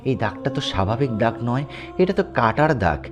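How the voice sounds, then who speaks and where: A man's voice: mid-range voice, big pitch swings, brisk. A man hosting a horror show and narrating first-person ghost stories dramatically. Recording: music bed under the voice. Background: background music.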